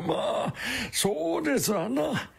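An actor playing a kindly, chatty old distillery worker in a radio drama, with a husky, creaky voice and folksy old-fashioned speech. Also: male voice, extremely high pitch, heavily acted. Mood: gentle, modest. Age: older. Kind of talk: Japanese radio drama acting.

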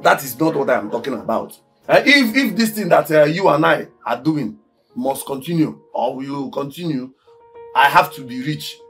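An actor playing a poor, aggrieved young village farmer with a Nigerian accent, stammering and repeating himself in agitation. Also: male voice, fairly high pitch, expressive, normal speed, fairly bright tone. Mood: insistent. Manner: agitated, stammering repetition of words. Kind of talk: Nollywood film acting. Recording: background music under dialogue.

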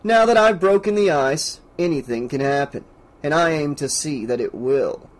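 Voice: medium pitch, lively intonation, moderate pace, close to an everyday voice. A narrator voicing a cocky, crafty young man, an officious bank employee in an audiobook, with a cold confidence.

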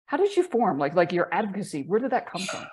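A woman from Newfoundland hosting a podcast interview from her home office, speaking haltingly with repeated words and plenty of enthusiasm. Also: medium pitch, big pitch swings, a little mellow, husky. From Newfoundland, Canada. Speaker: podcast host. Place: home office with bookshelves, indoors. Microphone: video call with earbuds.

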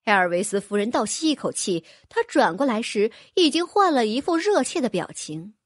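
A woman narrating a fantasy audiobook in a lively, expressive way. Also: a little high, moderate pace, no room sound, studio quality. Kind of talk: scripted fantasy novel narration.

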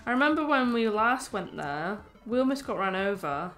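A woman's voice: mid-range voice, big pitch swings, moderate pace, a bit bright, faint music behind. A young woman from North Wales with a British accent, chatting casually on a stream, teasing and swearing with laughs. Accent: British English.

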